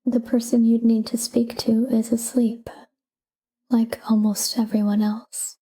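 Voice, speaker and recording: A woman softly guiding a sleep meditation in a calm, whispery, breathy voice, speaking in short, unhurried phrases with pauses. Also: on the high side. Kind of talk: soft guided sleep meditation, whispered talk-down.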